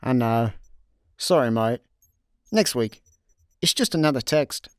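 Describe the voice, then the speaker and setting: A man's voice: medium pitch, expressive, measured pace, clean tone, dry recording, studio quality, natural voice. A man playing an easygoing, slightly more sensible slacker in a comedy sci-fi radio play, casual and hesitant. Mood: sheepish.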